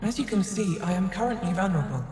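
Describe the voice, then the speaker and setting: A woman's voice: mid-range voice, animated, fast, dark tone, soft background music, lightly acted. Woman as ancient machine intelligence, female, formal, weary and bitter, game dialogue, long echoing reverb. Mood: guarded, formal. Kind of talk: video game voice acting. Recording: echoey hall with long reverb.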